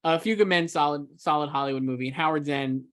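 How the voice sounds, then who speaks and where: A man's voice: fairly high pitch, fast talker, fairly bright tone. A man co-hosting a casual film discussion, talking emphatically and loosely with lots of repeated filler words. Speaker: co-host, film awards editor. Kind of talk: casual chat, film commentary.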